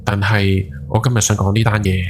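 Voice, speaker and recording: Low-voiced haunted Hong Kong night driver played by a man. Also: level tone, brisk, natural voice. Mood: weary, brooding. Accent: Hong Kong Cantonese. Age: 40s. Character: haunted guilt-ridden forty-something night taxi driver. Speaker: ghost story podcast narrator. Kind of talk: first-person dramatised horror storytelling. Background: background music.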